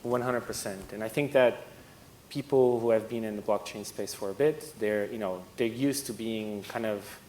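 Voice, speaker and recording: A man from Portugal speaking as a panelist at a conference, relaxed and conversational. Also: normal speed. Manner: relaxed, conversational, laughs often. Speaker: panelist, fund partner. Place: conference stage. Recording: echoey hall with faint rumble.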